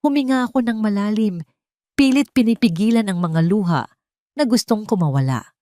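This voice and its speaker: A woman's voice: mid-range voice, moderate pace, dark tone, dry recording, studio quality. A woman narrating a scripted first-person drama story in an emotive, solemn manner.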